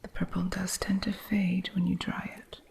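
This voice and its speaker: A woman whispering an ASMR roleplay as a witch shopkeeper, gently teaching and teasingly strict with her apprentice. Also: fairly low pitch, flat delivery, moderate pace, gravelly, a little hiss or hum. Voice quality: soft unvoiced whisper. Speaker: ASMR artist.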